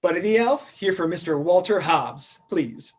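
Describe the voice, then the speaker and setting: A man's voice: high-pitched, animated, normal speed, breathy edge. A man playing a naive, exuberant grown man raised as an elf in a comedic script read, wide-eyed, earnest and guileless.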